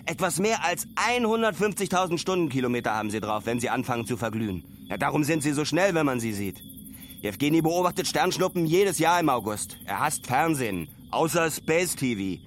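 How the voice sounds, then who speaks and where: A man's voice: a little high, expressive, fairly quick, slight background noise, natural voice. An actor playing a reflective male traveller, telling his story colloquially and musingly in a radio play monologue.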